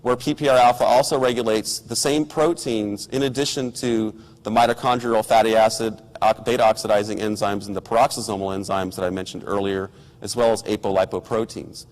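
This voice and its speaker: A middle-aged man, hoarse from a cold, giving a measured technical science lecture with occasional ums and restarts. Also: lowish voice, fairly even intonation. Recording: lecture hall with long reverb.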